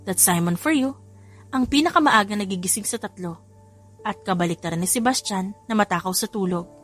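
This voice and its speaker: A woman's voice: medium pitch, a little fast, a bit bright, natural voice. A woman playing a playful, cheeky young mother in love, bantering with a Filipino accent in an audio romance. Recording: music behind the voice.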